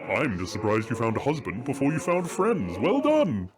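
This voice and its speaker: Man acting a jovial ancient dragon king, lively swooping voice. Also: mid-range voice, moderate pace. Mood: fatherly, stately. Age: older. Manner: effusive, grandly theatrical. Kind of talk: audio roleplay drama.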